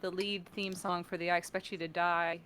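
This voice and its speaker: A woman with a very bright, forward voice in a casual interview. She talks in an animated, self-mocking way and slips into small acted quotes as she explains her work. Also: fairly low pitch, fairly quick, slight background noise. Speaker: guest, voice artist and sound designer. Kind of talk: casual interview chat on a live stream.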